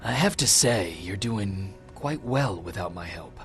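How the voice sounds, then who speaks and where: An actor playing a mysterious, secretive stranger in a video game, speaking in a measured, insistent and commanding manner. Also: male voice, lowish voice, animated, faint music behind. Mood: grave, cryptic. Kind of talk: video game cutscene acting.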